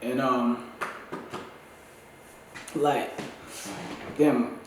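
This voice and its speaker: A man's voice: medium pitch, steady tone, very slow, background noise. A congested man with a dry throat, rambling in a loose, slangy way as he talks to his vlog viewers. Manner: loose, slangy, restarts often. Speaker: vlogger. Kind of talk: casual rambling monologue. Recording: very echoey room, mic at a distance.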